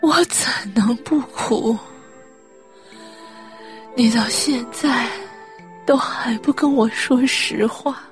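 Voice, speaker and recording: A woman's voice: fairly high pitch, soft background music. A woman playing an anxious, tearful, upright widowed mother in a Chinese radio drama, sighing and pleading. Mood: anguished, reproachful. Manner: sighing, pleading, slow and breathy.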